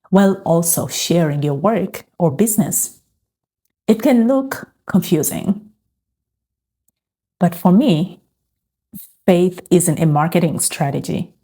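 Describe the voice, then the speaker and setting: A middle-aged woman from Uganda sharing a calm, reflective personal faith testimony. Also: on the low side, slow, long pauses. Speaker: faith and lifestyle vlogger.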